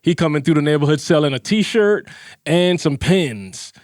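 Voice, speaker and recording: A man's voice: a little high, expressive, normal speed, no room sound, clean studio sound. A man from Barbados telling a story in a podcast chat, emphatic and building his point step by step. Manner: emphatic, repeats "watch what I'm saying". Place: small podcast studio. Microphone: studio mic on boom arm, headphones.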